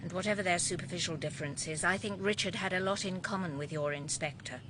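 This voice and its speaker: A woman's voice: on the low side, quick pace, a bit bright, slight background noise, close to an everyday voice. A woman playing a composed, perceptive policeman's widow in a radio drama, direct and articulate. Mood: candid, restrained. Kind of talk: British radio drama acting.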